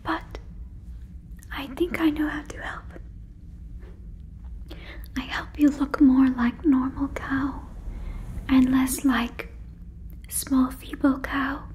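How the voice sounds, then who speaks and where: A woman voicing an earnest, protective alien in an ASMR roleplay, whispering breathily close to a microphone with halting, stilted phrasing. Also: high-pitched, little pitch movement, darkish tone, slight background noise. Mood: pitying, protective. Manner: halting, stilted phrasing like a learner of human words. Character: earnest female alien, protective, gently convinced listener is a cow. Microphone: fluffy microphone, very close.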